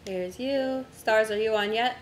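A young woman streamer chatting casually with friends while setting up a game, her voice going hoarse from a long day of talking. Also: on the high side, a little slow, reverberant, slight background noise. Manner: distracted, talks to herself while navigating menus.

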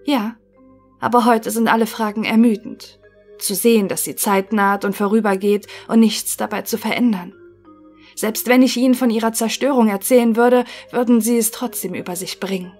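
A woman playing a formal, melancholic thinking machine in an audio drama, answering in clipped, measured phrases. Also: on the high side, darkish tone, faint music behind.

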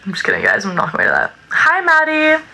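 Young woman on a phone live from her bedroom, bubbly, reads chat out loud, bright kinda high voice. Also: huge pitch swings, brisk, creaky. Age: young adult. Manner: bubbly, reads chat aloud, jokey sing-song repeats. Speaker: livestream host. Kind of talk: casual livestream chat reading comments. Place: small bedroom with string lights. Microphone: phone held at arm's length.

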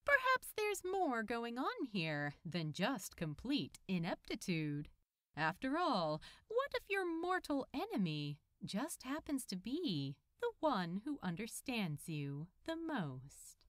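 Slow, expressive woman narrator. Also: on the high side, dry recording, studio quality. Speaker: fan fiction narrator.

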